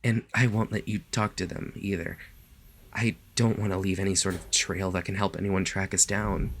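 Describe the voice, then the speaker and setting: A man playing a lovestruck, possessive kidnapper in an ASMR roleplay, speaking softly and haltingly to the listener, sometimes close to a whisper. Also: sits low, steady tone, a little hiss or hum. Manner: soft, gentle, halting, sometimes dropping to a whisper. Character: lovestruck male yandere kidnapper, doting, possessive, awkwardly reassuring.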